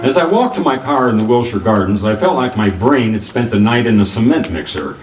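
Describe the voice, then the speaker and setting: An actor playing a wisecracking, tough private detective in a 1940s-style radio drama, with wry, clipped delivery. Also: male voice, fairly low pitch, expressive, a little fast, lightly acted. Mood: dry narration. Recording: roomy, distant mic with clear background noise.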